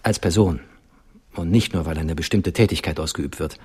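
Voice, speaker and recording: An actor playing a quietly flirtatious journalist visitor in a radio drama, unhurried and probing. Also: male voice, low voice, slightly rough. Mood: charming.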